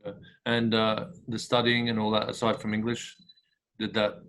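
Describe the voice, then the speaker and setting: A man's voice: on the low side, completely flat delivery, normal speed, fairly bright tone. A man hosting a casual interview, asking questions hesitantly with frequent fillers. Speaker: podcast host, interviewer.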